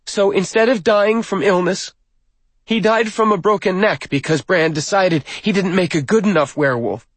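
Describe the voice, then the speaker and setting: A man's voice: high voice, expressive, a little slow, long pauses, dry recording, voice pushed up. A man voicing a bitter, defiant young woman who speaks in a declamatory, accusing way, as in an audiobook. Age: young adult. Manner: declamatory, accusing, rehearsed-sounding delivery. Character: bitter, defiant young woman giving a pointed funeral speech.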